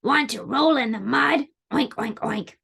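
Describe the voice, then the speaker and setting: A woman's voice: a little high, unhurried, creaky, fairly bright tone, breathy edge, heavily acted. A woman voicing a friendly, playful farmyard pig from a storybook, with oinks.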